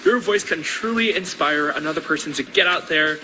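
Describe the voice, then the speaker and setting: A man's voice: on the high side, fairly quick, music in the background, poor audio. A man urging young people to act, in a rousing and urgent way. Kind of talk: testimonial.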